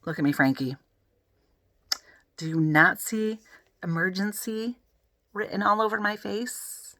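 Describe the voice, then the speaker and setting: A woman voicing an excitable, wisecracking schoolboy in a children's story, emphatic and dramatic, eager to impress. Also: mid-range voice, unhurried, no echo. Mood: pleading.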